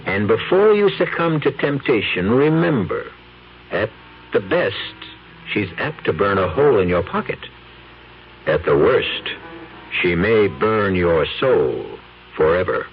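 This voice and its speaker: A man hosting a 1970s radio mystery show, with ominous, dramatic narration and slow emphasis. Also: fairly low pitch, very animated, slight background noise. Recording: dull vintage radio broadcast with music.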